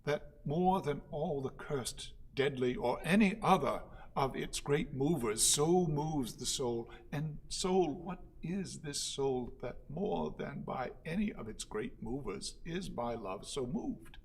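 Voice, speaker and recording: An actor playing a servile, pompous orator in a radio play, with a declamatory, halting, pleading manner. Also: male voice, fairly high pitch, lively intonation, gravelly, husky, heavily acted. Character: servile, pompous male orator, anxious before his lord. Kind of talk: theatrical radio play acting.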